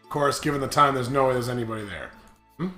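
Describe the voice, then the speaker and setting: A sarcastic host joking around and reading a visual novel aloud, animated and often breaking into shouts or laughter. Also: medium pitch, fast talker. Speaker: host of a comedic game playthrough. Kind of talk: comedic banter and mock-dramatic reading of a visual novel. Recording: roomy sound with music behind.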